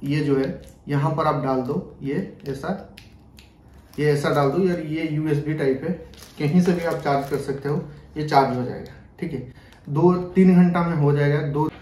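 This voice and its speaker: A man's voice: on the high side, steady tone, normal speed, mellow, slight background noise. A young man giving a casual, repetitive hands-on product demonstration to camera, mixing in English words. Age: young adult. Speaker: product review vlogger. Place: small bedroom, indoors. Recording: roomy echo.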